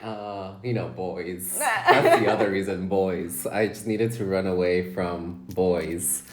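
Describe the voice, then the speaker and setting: A man's voice: deep voice, very animated, slow. A man co-hosting a casual podcast, telling candid personal stories and laughing often. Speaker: podcast co-host. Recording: echoey room.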